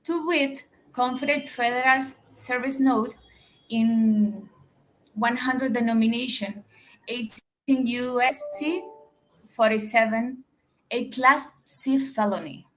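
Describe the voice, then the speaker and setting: A woman from the Dominican Republic, a native Spanish speaker with a Dominican accent, calling in and reading her question haltingly in English. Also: on the high side, fairly bright tone, low-quality recording. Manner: hesitant, reads haltingly in English. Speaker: caller.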